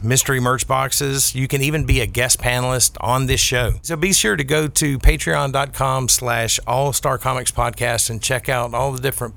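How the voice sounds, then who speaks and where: A man's voice: medium pitch, vocal fry, bright, forceful tone. A man on a podcast panel, explaining things in a casual conversational style.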